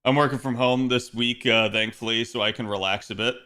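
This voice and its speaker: A man live-streaming from home with a bright, forward voice that is roughened by hoarseness. He rambles through loose, chatty talk full of fillers and restarts. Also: medium pitch, steady tone, brisk. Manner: rambling, self-correcting, many fillers. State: hoarse, slightly raspy voice. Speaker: game streamer. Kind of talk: casual live-stream chat, talking to viewers.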